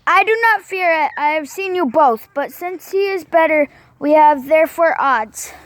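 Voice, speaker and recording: A woman's voice: sits high, expressive. A woman playing a scheming king. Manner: halting, stumbling recitation. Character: male king, scheming and commanding. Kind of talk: amateur home-video acting.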